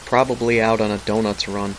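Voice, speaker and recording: An actor playing an easygoing young small-town police deputy in a radio drama, speaking casually. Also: male voice, fairly low pitch, flat delivery, fairly quick, slight background noise, natural voice, rough recording.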